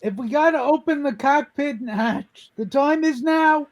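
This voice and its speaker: A man's voice: very high-pitched, unhurried. A man voicing a fretful old rich duck miser in a live cartoon comic dub. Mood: urgent.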